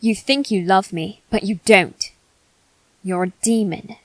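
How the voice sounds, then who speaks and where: A haughty, brooding young aristocrat, voiced by a woman in an ASMR roleplay drama. The delivery is slow and formal, with weighty pauses between phrases. The sound is dry and close, with no room around it. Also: medium pitch, no room sound, low-quality recording. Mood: cold, dismissive. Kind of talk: dramatic ASMR roleplay monologue addressed to listener.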